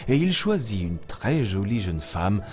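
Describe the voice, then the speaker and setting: Kindly, animated cartoon animal mentor. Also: male voice, fairly low pitch, a little slow, soft background music. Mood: storytelling. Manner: patient, encouraging, storytelling. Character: kindly, reassuring adult male jungle animal, wise storyteller. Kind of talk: children's cartoon voice acting.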